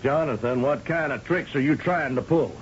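An actor playing a commanding, suspicious governor in an old-time radio science fiction drama. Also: male voice, medium pitch, normal speed, close to an everyday voice. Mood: stern, suspicious. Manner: commanding, demanding, urgent. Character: blustering, suspicious governor of a space settlement. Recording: dull, noisy old radio drama recording.

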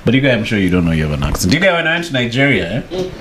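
A man's voice: mid-range voice, sing-song, racing, background noise. A man from Kenya with a Kenyan accent, chatting casually, laughing often and dropping in "you guy". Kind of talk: casual chat.

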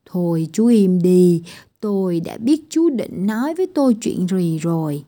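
A woman's voice: lowish voice, animated, a little slow, warm tone. A woman voicing a strict, bossy tiny girl doctor in a children's storybook reading, giving firm scolding commands. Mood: stern.

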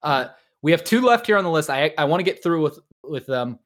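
A man's voice: a little high, animated, a little fast, a bit bright. A man hosting a podcast, chatting casually and prompting his guest in an informal, encouraging way. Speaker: podcast host.

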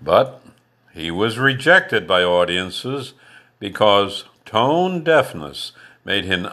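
An older man from Canada, whose first language was French, hosting a podcast with a deliberate, scripted biographical narration. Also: fairly low pitch, sharp tone. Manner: measured, deliberate reading. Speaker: podcast host.

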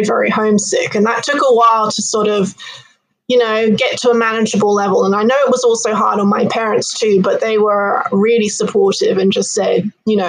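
A woman's voice: mid-range voice, slightly rough, rough recording. British woman telling her own story. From London, United Kingdom. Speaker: interview guest, marine biologist. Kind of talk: conversational personal storytelling.

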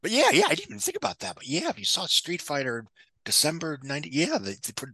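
A man with a husky voice giving opinionated, casual film commentary as a podcast guest. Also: a little high, huge pitch swings, fast talker, bright, forceful tone, dry recording. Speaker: guest box office commentator.

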